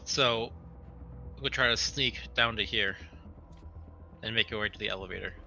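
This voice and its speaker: A man's voice: on the low side, normal speed, vocal fry, bright, forceful tone, muffled recording, slight background noise. A man playing a tabletop roleplaying game, talking casually as he plans his character's moves.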